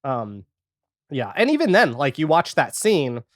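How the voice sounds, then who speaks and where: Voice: medium pitch, big pitch swings, unhurried, no echo, studio quality. A man chatting casually as a podcast guest, rambling and retelling things with quoted reactions. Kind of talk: casual podcast chat about a TV series.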